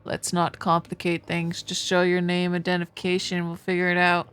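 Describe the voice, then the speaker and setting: A woman voicing a dutiful male guard in a visual novel, measured, polite and firm, with music behind. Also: on the low side, completely flat delivery, a bit bright, clear, resonant tone, close to an everyday voice. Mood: calm, firm.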